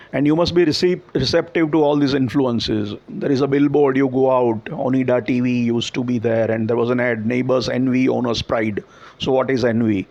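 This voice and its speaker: A Gujarati man talks in Indian English with a gravelly edge, mid-pitched and unhurried. He explains patiently with everyday examples and often restarts a phrase. The recording is a bit muffled and lo-fi. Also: a little mellow. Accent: Gujarati-influenced Indian English. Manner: thoughtful, explaining with examples and self-corrections. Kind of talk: reflective conversational talk.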